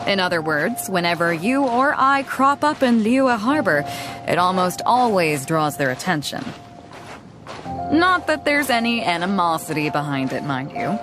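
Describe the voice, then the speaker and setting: A woman voicing a confident, easygoing female ship captain in a video game cutscene, speaking in a relaxed, knowing way. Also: lowish voice, normal speed. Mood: sly. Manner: relaxed, knowing, laughs often. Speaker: fleet captain. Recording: game music under the voice.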